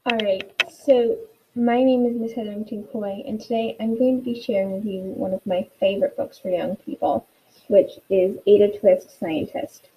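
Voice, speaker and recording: A woman's voice: mid-range voice, steady tone, moderate pace, dark tone, slight background noise, rough recording. A woman teacher giving an instructional read-aloud for students, encouraging them with guiding questions.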